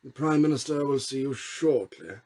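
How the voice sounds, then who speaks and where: A man's voice: mid-range voice, expressive, moderate pace, darkish tone, pitched above the performer's own voice, natural voice. A man doing a stiff, formal butler. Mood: polite.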